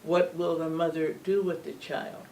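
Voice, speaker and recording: An older woman speaking as an interview guest, measured and emphatic, often asking rhetorical questions. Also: deep voice, little pitch movement, warm tone. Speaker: guest, former legislator. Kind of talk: earnest interview talk. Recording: distant mic with faint background hum.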